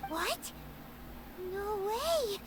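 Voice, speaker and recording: A woman voicing a small, excitable, loyal fairy companion in an anime-style video game, squeaky and childlike. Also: drawn-out words, heavily acted. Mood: shocked. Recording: noisy game stream audio.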